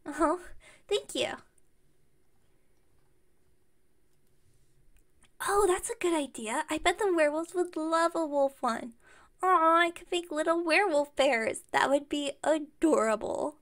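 A woman's voice: high voice, moderate pace, fairly bright tone. A woman playing an enthusiastic, scatterbrained young witch shopkeeper in an ASMR roleplay, chatting and rambling to the listener. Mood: excited, delighted. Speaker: voice actor in audio roleplay. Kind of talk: ASMR roleplay, one-sided conversation with listener.

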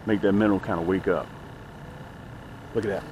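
A man's voice: low-pitched, steady tone, moderate pace, darkish tone, rough recording. A middle-aged man talking to viewers in a casual, folksy fishing vlog, emphatic and fond of repeating phrases. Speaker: host of a fishing channel. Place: outdoors on a small boat on a lake. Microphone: action camera mounted near him. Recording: outdoor noise, small action camera.

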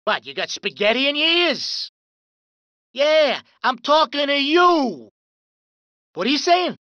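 A woman's voice: high-pitched, very animated, slow pace, sharp tone, no echo, heavily acted. A woman voicing a hot-tempered male mafia street thug from a video game, brash and profane. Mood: taunting. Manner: brash, profane, swaggering tough-guy talk. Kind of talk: reciting video game pedestrian lines. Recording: dull lo-fi dry recording.

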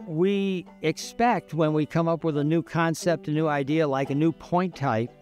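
Older man, measured, music behind. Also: fairly high pitch, fairly even intonation, darkish tone. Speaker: archaeologist, stone-tool analyst. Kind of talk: documentary interview. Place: outdoors, open yard at dig site.

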